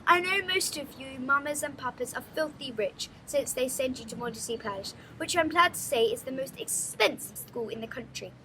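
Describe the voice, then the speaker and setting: A woman's voice: sits high, animated, normal speed, few pauses, pushed and bright, slight background noise, exaggerated voice, poor audio. A woman voicing a stern, menacing, snobbish headmistress in a storybook, pompous and imperious as she gives orders. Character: stern, menacing, snobbish middle-aged headmistress of a posh school.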